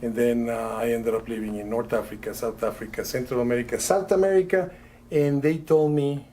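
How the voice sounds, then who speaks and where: A middle-aged man from Italy, a magician doing live patter for a rope trick, talking in a chatty, halting way with many fillers. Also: medium pitch, fairly even intonation, dark tone, a little hiss or hum. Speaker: magician guest.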